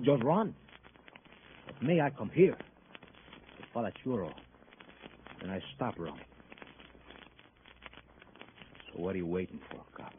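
An actor playing a tough-talking, cocky young murder suspect in a 1950s radio crime drama, sullen and taunting in street slang. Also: male voice, medium pitch, natural voice. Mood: bitter defiance. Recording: tinny, noisy old radio recording.

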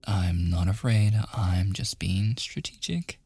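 A man playing a smitten, insistent young man in a boyfriend ASMR roleplay, speaking softly and haltingly, at times in a breathy, coaxing whisper. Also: deep voice, dry recording, studio quality, soft background music, full character voice. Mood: hushed, urgent.

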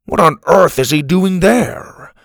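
Storybook grandfather performed by a man: elderly, excitable, eager adventurer with animated, swooping delivery. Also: medium pitch, a little slow, gravelly, no room sound, clean studio sound, full character voice. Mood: baffled, indignant. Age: older. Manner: eager, exclamatory.

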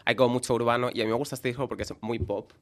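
A man's voice: mid-range voice, steady tone, rapid-fire, fairly bright tone. A man from hosting a podcast, teasing his guest and laughing often in casual chat. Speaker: podcast host.

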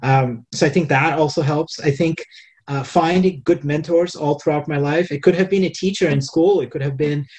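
A man's voice: on the high side, normal speed, darkish tone. A man whose first language is Hindi, a venture investor answering podcast interview questions in a thoughtful way, with many fillers and restarts. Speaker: venture capital investor, guest.

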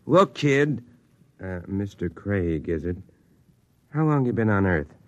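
An actor playing a gruff, world-wise freight office boss, blunt, slangy and lecturing, in an old-time radio drama. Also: male voice, on the low side, sing-song, takes it slowly.

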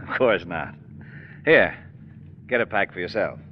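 An actor playing a troubled, nervously polite husband at the wheel, hesitant and courteous, in old radio drama style. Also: male voice, deep voice, expressive, measured pace. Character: anguished married man driving, nervously polite and preoccupied. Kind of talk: 1940s radio acting. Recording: muffled old radio recording, car noise.

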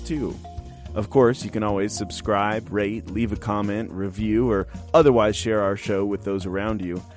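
A man's voice: on the low side, a little mellow. A man hosting a podcast, reading a scripted, upbeat radio-show introduction. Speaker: podcast host.

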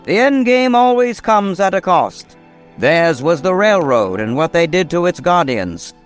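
A man playing the storyteller of an epic radio mystery, narrating with grave, dramatic, deliberate phrasing. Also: high voice, sing-song. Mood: reflective. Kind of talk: scripted old-time radio drama narration. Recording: music behind the narration.

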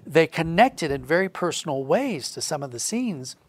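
A man's voice: fairly high pitch, very animated, normal speed, no echo. A middle-aged man talking reflectively as a guest in a conversational interview, acting out little quotes as he explains. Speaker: guest, audio drama writer.

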